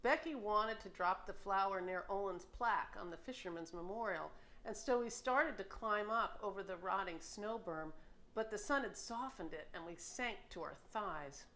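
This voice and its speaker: A woman's voice: medium pitch, moderate pace, fairly bright tone, low-quality recording. A middle-aged woman with an American accent reads her own personal memoir as an author, in a reflective, informal storytelling manner, recorded in a home kitchen. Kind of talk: personal memoir audiobook narration.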